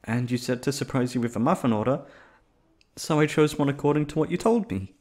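A man's voice: mid-range voice, brisk, darkish tone, echoey room, natural voice. A man playing a shy, flustered young man on a first date in an ASMR roleplay, rambling and stammering nervously. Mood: sheepish, eager. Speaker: voice actor.

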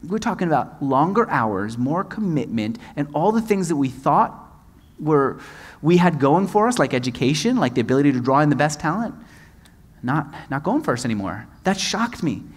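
Animated man moderating a stage panel. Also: on the high side, normal speed, breathy edge. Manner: expressive, gestures broadly, quotes others in dialogue. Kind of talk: animated onstage storytelling. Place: conference stage before a large audience. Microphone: headset mic.

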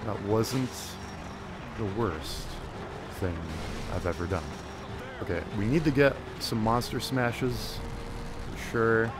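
A young man giving casual, excitable commentary while playing a video game, talking to himself through a headset mic. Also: on the low side, takes it slowly, noisy background. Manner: excitable, self-mocking, talks to himself. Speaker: gaming YouTuber. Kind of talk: casual let's-play commentary.

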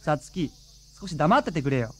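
Blunt cheeky high school boy, lively high voice, radio drama. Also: brisk, slightly rough, exaggerated voice. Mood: gossipy, blunt.

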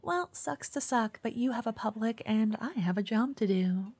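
Woman acting a soft-voiced, pushy, obsessive fangirl. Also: fairly high pitch, normal speed, breathy edge, dry recording. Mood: insistent, teasing. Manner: chatty, coy, insistent, slipping into whispers. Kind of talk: ASMR roleplay.

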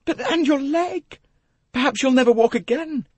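A man voicing a distraught, remorseful young woman in an audiobook, halting and melodramatic, with a breathy tone. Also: very high-pitched, a little mellow, no echo, voice pushed up. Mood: anguished remorse.

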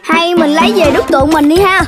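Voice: very high-pitched, steady tone, fairly quick. An actor voicing a chubby, playful, easily scared child pig in a children's cartoon, with a southern Vietnamese accent. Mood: eager. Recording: loud music behind the voice.

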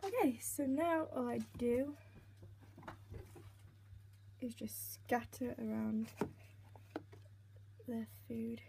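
A young woman with a slightly croaky voice, chatting casually as she narrates each step of a pet-care routine while filming on a handheld phone at home. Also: on the high side, extremely slow, long pauses. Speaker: pet keeper vlogger. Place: indoors at home by snail tanks. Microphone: handheld phone camera. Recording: noisy home phone recording.

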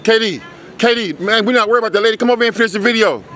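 A man's voice: high voice, very fast, crowd noise. A man filming a street interview, teasing and insisting, repeating himself. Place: outdoor parking lot. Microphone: phone held behind the camera.

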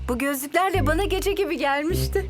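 A woman's voice: high voice, brisk, music in the background, lightly acted. A woman voicing a sweet, absent-minded mother in a children's cartoon dub. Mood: puzzled, amused.

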